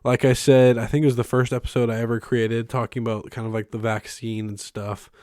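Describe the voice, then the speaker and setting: A young man hosting a casual solo podcast from his bedroom, rambling with many ums and restarts, his voice hoarse and congested from being sick. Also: mid-range voice, little pitch movement, a little fast, darkish tone, dry recording, clean studio sound. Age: young adult. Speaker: podcast host. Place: bedroom used as a studio. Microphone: close microphone, filmed on camera.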